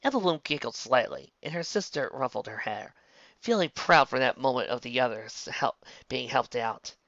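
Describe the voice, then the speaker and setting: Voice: mid-range voice, expressive, normal speed, gravelly, a bit bright, no room sound, muffled recording. A narrator reading a fan fiction story in a scripted storytelling style.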